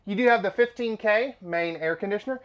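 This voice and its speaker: A man's voice: sits high, a little fast, fairly bright tone, lo-fi sound. A man presenting an RV dealership walkthrough from inside a travel trailer, upbeat and chatty with casual asides, his voice slightly nasal from allergies. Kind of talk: product walkthrough tour.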